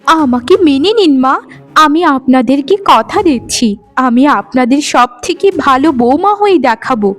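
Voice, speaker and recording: Woman playing a high-voiced, gentle young bride. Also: expressive, moderate pace, soft background music. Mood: pleading. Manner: pleading, tender, earnest. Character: gentle, devoted young bride, orphan of the forest. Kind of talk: melodramatic cartoon acting.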